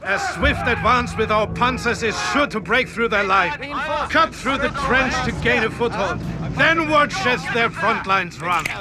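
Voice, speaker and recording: An actor playing a confident wartime general, giving authoritative, formal mission briefings in a video game. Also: male voice, very high-pitched, lively intonation, a little slow. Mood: commanding, assured. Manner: authoritative, formal, self-assured. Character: confident, commanding male German panzer general in wartime.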